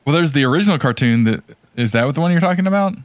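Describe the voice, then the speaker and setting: A man's voice: on the high side, expressive, racing, dry recording. A man co-hosting a podcast, casually talking over movies and giving his opinions conversationally. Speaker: podcast co-host. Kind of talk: casual chat about movies.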